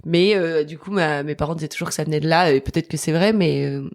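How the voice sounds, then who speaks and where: A woman's voice: low-pitched, fast talker, darkish tone, dry recording, studio quality. A French woman in her thirties, a guest chatting casually in an interview, hesitant with many fillers and frequent laughs. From France. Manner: hesitant, lots of fillers and restarts, laughs often. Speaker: podcast guest.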